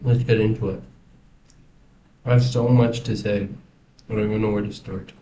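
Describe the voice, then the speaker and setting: A man's voice: on the low side, steady tone, normal speed, warm tone. A young man with an American accent talking casually and reflectively in a rambling personal video diary. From United States. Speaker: vlogger. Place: indoors in a house. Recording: roomy echo, faint background noise.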